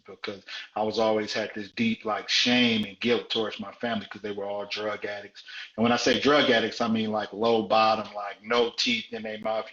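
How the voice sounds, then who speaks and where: A man in his forties from South Central Los Angeles tells his life story frankly, stacking repeated phrases for emphasis. His voice sits fairly low. The sound is dull and roomy, like a live stream picked up in an echoing space. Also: fairly bright tone. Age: 40s. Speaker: guest.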